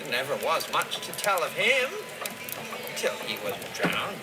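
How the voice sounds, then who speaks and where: An actor playing a talkative, folksy old hobbit gardener in an audio play, rambling and gossipy. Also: male voice, fairly high pitch, sing-song, moderate pace, loud music behind the voice. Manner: rambling, gossipy, rustic phrasing. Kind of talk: dramatized audio play dialogue.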